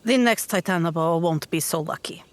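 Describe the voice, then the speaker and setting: A woman's voice: lowish voice, moderate pace, lightly acted. A woman voicing a tough, blunt young warrior in a tabletop game, hesitant and wry, anxious for her mother.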